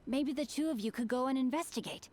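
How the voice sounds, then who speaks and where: A woman's voice: sits high, quick pace, a little mellow, slight background noise, natural voice. A woman voicing an earnest, bookish young male swordsman who speaks in formal, old-fashioned phrases and quotes proverbs. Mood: calm. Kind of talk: video game character dialogue.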